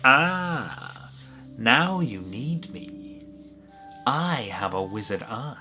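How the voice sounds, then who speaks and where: A man as a strange badger wizard, storybook schemer, slow drawn-out theatrical speech, lively pitch swings, bright tone. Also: soft background music, heavily acted. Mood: sly, scheming. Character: strange, sly badger wizard, seedy soul-bargainer.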